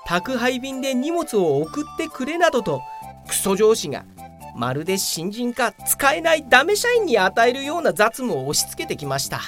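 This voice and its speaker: A woman's voice: a little high, huge pitch swings, normal speed. A woman playing a hapless, grumbling veteran male office worker who narrates his own comic audio drama in a whiny, self-important way. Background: prominent background music.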